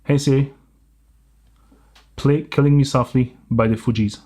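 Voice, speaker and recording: A man with a French Canadian accent talks through a gadget review in a relaxed, explanatory way. His pitch sits in an ordinary middle range, and he often trips and restarts mid-thought. Faint music plays under his voice. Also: measured pace, slightly rough. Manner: explanatory, thinking aloud with small restarts. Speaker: tech reviewer. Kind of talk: casual product review.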